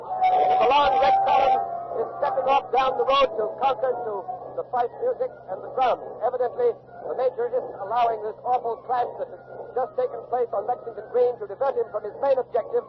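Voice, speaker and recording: An actor playing an urgent, breathless eyewitness reporter in a 1940s radio drama, speaking in halting bursts of live commentary. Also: male voice, very high voice. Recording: muffled old radio recording, loud battle noise.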